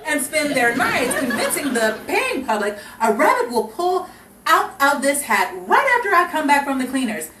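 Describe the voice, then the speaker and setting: A woman's voice: high-pitched, sing-song, moderate pace, bright, forceful tone. A woman performing as announcer and cast member of a live show, announcing and bantering. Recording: echoey distant mic, noisy with crowd.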